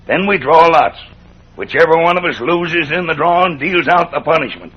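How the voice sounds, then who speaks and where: An actor playing a stern, stubborn cowboy camp cook in an old western radio drama, speaking in a plain, firm drawl with a husky voice. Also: male voice, fairly high pitch, lively intonation, normal speed. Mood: grave, defiant. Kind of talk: 1940s-50s western radio acting. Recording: dull old radio recording.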